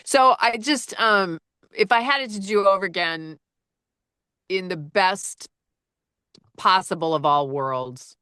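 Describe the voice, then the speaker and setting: A woman audiobook narrator with a low-register voice, chatting casually and rambling with lots of fillers and restarts. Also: takes it slowly, long pauses, sharp tone, smooth, no room sound, clean studio sound. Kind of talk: casual conversation.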